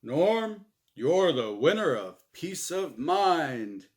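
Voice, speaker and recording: A man voicing a heavenly game show's prize announcer in a story reading, with salesy, drawn-out promotional patter. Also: a little high, sing-song, full character voice. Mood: grandly promotional. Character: smooth-selling male game show prize announcer in heaven.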